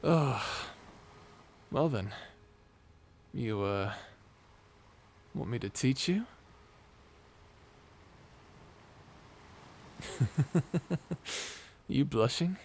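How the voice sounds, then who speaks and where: A man's voice: medium pitch, animated, extremely slow, long pauses, darkish tone, slight background noise. A man playing a flirty, self-deprecating space pirate captain in an intimate audio roleplay, with a hesitant, nervously laughing manner. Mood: teasing, flirty. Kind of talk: intimate ASMR roleplay acting. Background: music.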